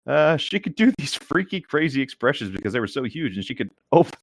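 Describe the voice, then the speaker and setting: A man's voice: medium pitch, sing-song, fairly quick, slight background noise. A man reading aloud and chatting casually, with informal, hesitant asides and mild swearing. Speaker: podcast co-host reading a story aloud.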